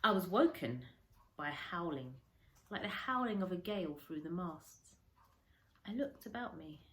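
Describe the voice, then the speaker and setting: A woman teacher reading a children's story aloud, dramatic and emphatic, with deliberate pauses and shifts in volume. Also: on the low side, animated, fairly bright tone. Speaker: primary school teacher reading to a class.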